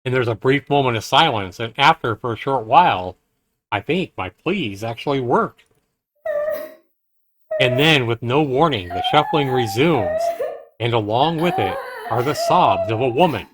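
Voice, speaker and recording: A man live-streaming a visual novel, reading it aloud in a dramatic, deliberate way with casual commentary. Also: medium pitch, very animated, fairly bright tone, dry recording. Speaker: game streamer.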